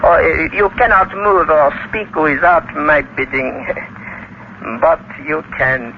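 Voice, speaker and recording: A man playing a sinister, mock-courteous murderous doctor in a 1940s radio horror drama, suave and mincing, with hesitant little uhs and a creaky voice. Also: fairly high pitch, lively intonation, slow. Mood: suave menace. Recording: muffled, noisy 1940s radio recording.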